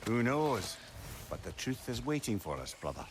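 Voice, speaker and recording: An actor playing a wise, worldly older companion in a video game, wry and brotherly, measured and expressive, with noise behind. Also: male voice, medium pitch, close to an everyday voice. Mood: knowing. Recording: noisy ambient game scene.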